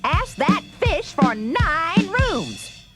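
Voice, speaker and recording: A woman playing a demanding, excitable fisherman's wife in a musical children's story, exclamatory and insistent. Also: very high-pitched, loud music behind the voice, heavily acted. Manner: exclamatory, insistent, slow and deliberate.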